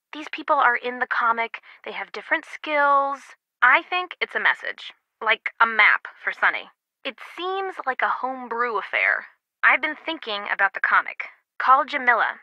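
An actress playing a brisk, wry young woman, a loyal friend and teammate, in a casual video game delivery. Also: a little high, big pitch swings, sharp tone, no echo, dull audio, heavily acted. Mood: thoughtful.

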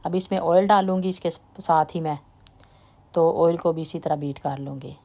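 A woman with a slight lilt, chatting in a relaxed way as she walks through a home baking recipe step by step. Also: sits low, moderate pace. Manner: relaxed, chatty, trails off softly at sentence ends. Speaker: home cooking vlogger. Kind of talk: casual step-by-step baking talk.

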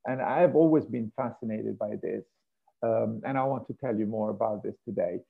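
A middle-aged man with an Italian accent lectures on marine life from home over a video call. His voice is husky with a gravelly edge, and he halts and restarts often. The sound is thin and phone-like. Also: fairly low pitch, normal speed. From Italy, Rome. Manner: hesitant, many fillers and restarts. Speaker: marine biology professor. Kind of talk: online lecture. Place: home room with a piano.

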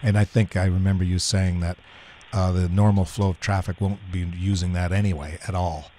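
A man's voice: low-pitched, flat delivery, a little fast, mellow, a little hiss or hum. A man with a Canadian accent, a local news interviewer asking brief conversational questions.

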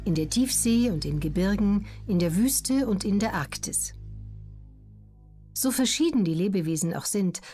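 A woman narrating an educational audiobook in a measured, clearly articulated, scripted reading. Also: mid-range voice, mellow.

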